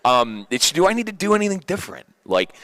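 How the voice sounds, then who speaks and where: A man's voice: high voice, big pitch swings, fast talker, fairly bright tone, no room sound. A thirty-year-old man hosting a sports radio show, chatting casually and animatedly, often repeating and stumbling over his phrases. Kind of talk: casual radio chat, personal.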